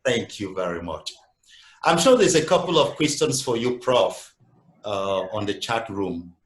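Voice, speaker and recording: A man's voice: fairly low pitch, lively intonation, unhurried, reverberant. A man moderating a webinar Q&A, polite and hesitant, with many fillers. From Cameroon.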